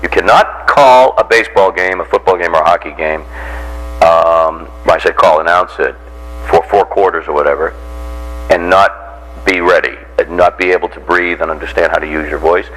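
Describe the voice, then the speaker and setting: Low-voiced American man coaching a group. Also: normal speed, poor audio. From Randolph, near Boston, United States. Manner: jocular, animated teacher who acts out examples and puts questions to listeners. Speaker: voice coach giving a workshop. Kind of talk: informal instructional talk to a group.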